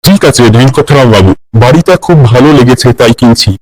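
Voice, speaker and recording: A man's voice: medium pitch, animated, normal speed, darkish tone, no room sound, lightly acted, poor audio. Man playing a courteous, cautious house buyer. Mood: agreeable.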